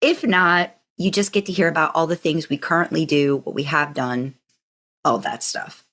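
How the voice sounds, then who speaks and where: American woman podcast co-host, slightly low voice. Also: moderate pace, fairly bright tone. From United States. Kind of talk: casual fandom podcast chat.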